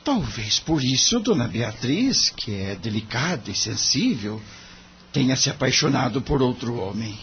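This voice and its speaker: Courteous young male Brazilian lawyer played by an actor for radio drama, mid-pitched, lively inflection, muffled recording. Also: a little slow. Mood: pensive. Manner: formal, polite, earnest. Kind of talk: audio drama acting. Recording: muffled lo-fi audio, background noise.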